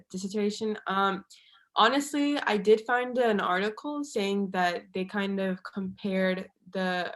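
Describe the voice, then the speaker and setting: A young woman talking loosely and at length over a video call. She rambles and hedges, circling back to her points in casual panel talk. Her voice sits at an ordinary middle pitch. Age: young adult. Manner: chatty, rambling, hedging. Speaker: university student panelist.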